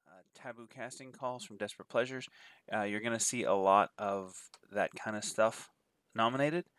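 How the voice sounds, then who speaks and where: A man's voice: on the low side, moderate pace, a bit bright, smooth, no room sound. A man with a cold and a nasal voice, giving a rambling, casual spoken review of films and listing titles with many fillers. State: has a cold. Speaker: adult film reviewer.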